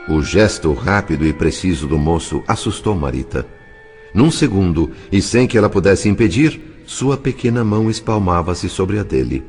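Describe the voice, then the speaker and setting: Low-pitched Brazilian man narrating drama. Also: darkish tone, husky. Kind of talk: dramatic scripted audiobook narration.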